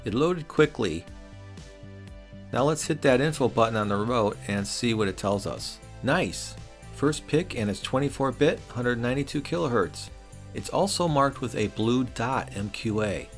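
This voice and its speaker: A man's voice: medium pitch, animated, normal speed, faint music behind. A middle-aged man reads a scripted product review voice-over in a wry, opinionated way. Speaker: reviewer and host.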